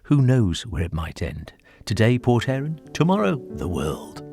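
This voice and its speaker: Male radio presenter for an arts magazine, middle pitch, scripted links, light music behind. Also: very animated, a little slow. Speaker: radio arts show host.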